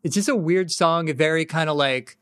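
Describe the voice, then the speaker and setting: A man's voice: on the high side, brisk, a bit bright, smooth. A man interviewing a guest in a casual, curious way, dropping in short reactions.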